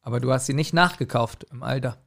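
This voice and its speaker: A man's voice: medium pitch, fast talker, creaky, fairly bright tone. A middle-aged man chatting as a podcast co-host, with a dry, teasing way of bantering. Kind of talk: casual banter.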